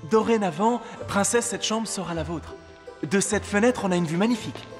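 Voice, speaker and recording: A man's voice: high voice, normal speed, soft background music, close to an everyday voice. An actor playing an earnest, noble young king in a fairy-tale cartoon, speaking in a courtly, emotive way. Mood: warm, courtly.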